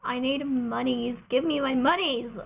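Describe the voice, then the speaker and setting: Higher-voiced woman streaming, playful and casual. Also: unhurried, gravelly, slight background noise. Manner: playful, self-deprecating, talks to herself and viewers. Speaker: streamer, video game player. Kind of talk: casual gaming livestream chat.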